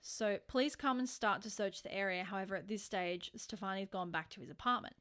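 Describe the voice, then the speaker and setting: A woman's voice: mid-range voice, a little fast, fairly bright tone. A woman co-hosting a podcast, telling true-crime stories in an informal, conversational way with emphatic stresses. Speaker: podcast co-host.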